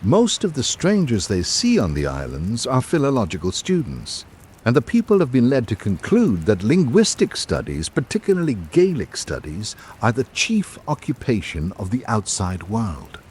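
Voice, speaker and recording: An actor playing a reflective literary traveller, narrating a radio drama in a measured, formal way. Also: male voice, medium pitch, huge pitch swings, a little mellow, a little hiss or hum. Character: reflective literary traveller recalling island life. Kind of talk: scripted first-person narration.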